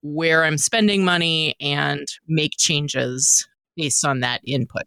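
A woman's voice: low voice, steady tone, slow pace, vocal fry, sharp tone, clean tone, no echo. A woman co-hosting a podcast, talking in a casual, conversational style. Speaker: podcast co-host. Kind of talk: casual chat.

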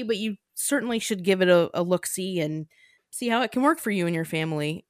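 A woman's voice: medium pitch, fairly bright tone, dry recording, studio quality. A woman podcast host chatting casually and self-deprecatingly, often laughing. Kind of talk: casual chat.

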